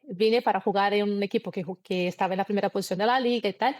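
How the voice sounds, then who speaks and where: A woman in her thirties talks through her story in an interview, earnest and a little halting as she restarts phrases in a language that isn't her first. Her pitch sits in the middle range, and the recording is dry, with no room echo. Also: steady tone, darkish tone. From Brazil. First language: Portuguese. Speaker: guest. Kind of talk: conversational interview, personal story.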